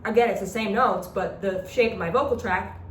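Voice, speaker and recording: A woman's voice: medium pitch, moderate pace. A woman instructor explaining step by step in an informal, demonstrative lecture style. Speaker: instructor demonstrating a talk box. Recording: echoey, distant mic with background noise.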